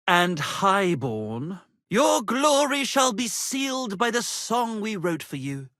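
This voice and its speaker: An actor voicing a vain, florid wandering poet-musician, theatrical and grandiloquent, in a medieval video game. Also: male voice, high-pitched, a bit bright, no room sound, clean studio sound, exaggerated voice. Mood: grandiose. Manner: flowery, grandiloquent, melodramatic.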